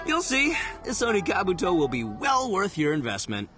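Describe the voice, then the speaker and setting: A man's voice: sits high, wildly swooping pitch, normal speed. A man playing a brash, goofy, hot-headed but big-hearted gang boss in an anime video game, cocky and hammy. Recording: loud music behind the voice.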